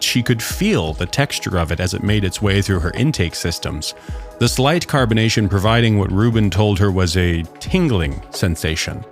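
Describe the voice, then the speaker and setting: Man narrating fiction, lively pitch swings.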